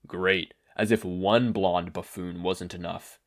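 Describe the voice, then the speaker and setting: A man playing a proud, gruff, easily offended male superhero who talks in a forceful, scornful way. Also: sits low, steady tone, slow pace, pitched below the performer's own voice. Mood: scornful, irritated.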